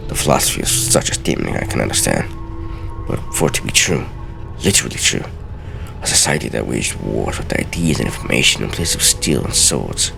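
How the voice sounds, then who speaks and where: Male let's play host, extremely low gravelly voice, theatrical reader, game music underneath. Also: very animated, brisk, poor audio. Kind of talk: game commentary with dramatic reading of in-game texts.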